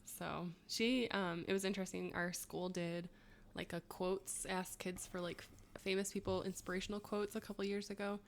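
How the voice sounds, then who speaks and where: A woman's voice: medium pitch, little pitch movement, normal speed, creaky, slight background noise. A woman in her thirties co-hosting a podcast, reading quiz clues and chatting, laughing often. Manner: chatty, laughs often, self-mocking asides. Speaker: podcast co-host.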